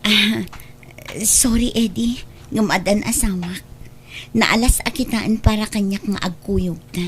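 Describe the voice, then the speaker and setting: A woman's voice: medium pitch, unhurried, natural voice. Anxious married woman played by an actress, noisy ambience. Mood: polite, uneasy. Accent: Filipino, Ilocano. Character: anxious, polite married woman, firm with a pushy man. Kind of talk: radio drama acting. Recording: clear background noise and ambience.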